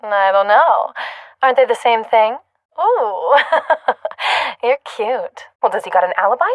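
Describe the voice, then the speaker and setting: An actress playing a sardonic, flirty gangland nightclub regular in a video game, wry and teasing. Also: female voice, on the high side, expressive, unhurried, dry recording.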